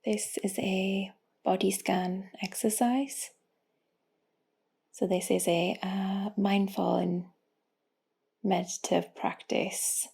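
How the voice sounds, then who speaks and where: A woman leads a guided meditation gently and soothingly, in a soft, breathy voice that often drops close to a whisper. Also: medium pitch. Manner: gentle, unhurried, with long pauses. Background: music.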